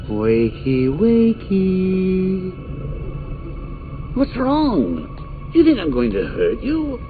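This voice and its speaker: An actor playing a taunting, manic male clown villain in a video game, with a gravelly, theatrical voice that swings between whispers and shouts. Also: sits high, wildly swooping pitch, extremely slow, long pauses, full character voice. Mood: mocking. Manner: mocking, sing-song cruelty. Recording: tinny lo-fi audio with music underneath.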